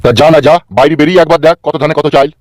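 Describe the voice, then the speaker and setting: A man's voice: a little high, level tone, racing, close to an everyday voice. A man voicing a teasing, resentful village friend in a comedy cartoon, taunting in a folksy way. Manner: taunting, quotes folksy rhymes.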